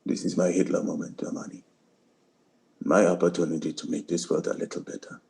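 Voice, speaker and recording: Deep, gravelly, grave soldier played by a man. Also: level tone, fairly quick, darkish tone. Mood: solemn, wistful. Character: resolute, sorrowful soldier bent on revenge, male. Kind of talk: dramatic script table read.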